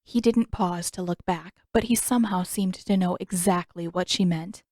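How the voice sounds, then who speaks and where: A woman's voice: on the low side, normal speed, darkish tone, dry recording. A woman reading scripted fiction expressively as a storyteller, close to the microphone. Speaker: podfic narrator and author. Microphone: close microphone, dry room.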